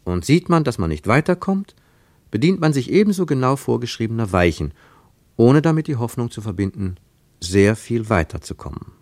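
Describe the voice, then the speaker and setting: A man narrating a literary radio play in a reflective, rhetorical manner with deliberate emphasis. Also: medium pitch, sing-song, a little mellow, no room sound. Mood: resigned, explanatory. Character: reflective literary narrator of a postwar travel story.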